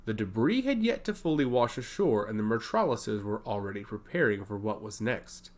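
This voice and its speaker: A man's voice: on the low side, very animated, normal speed. A man narrating a scripted fantasy story with a dramatic delivery.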